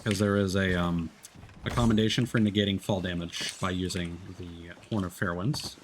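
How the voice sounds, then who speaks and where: A man's voice: low-pitched, fairly even intonation, moderate pace. A man streaming a game, explaining steps to a co-player with many fillers. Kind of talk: casual game chat. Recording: noisy stream audio.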